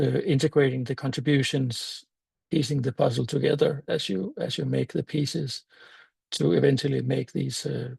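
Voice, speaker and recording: An older man with a Danish accent, a native Danish speaker, giving a conference talk in a thoughtful, halting way with many fillers and restarts. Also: medium pitch, gravelly, a little mellow, husky, no echo. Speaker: meetup speaker.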